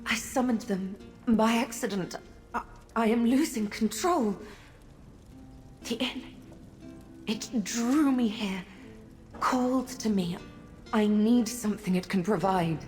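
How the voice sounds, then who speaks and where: An actress playing a disoriented, fragile goddess in a video game, speaking haltingly and cryptically in a pleading way. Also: female voice, mid-range voice, a little mellow, lightly acted. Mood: troubled, cryptic. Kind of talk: scripted video game character acting. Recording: long reverb, music behind.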